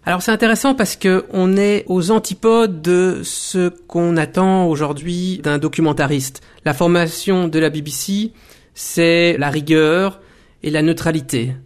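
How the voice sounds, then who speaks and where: A man in his forties, a documentary maker and former journalist, telling his story in a radio interview with thoughtful pauses and restarts. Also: sits high, fairly even intonation, smooth. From Belgium. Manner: thoughtful, many hesitations and restarts.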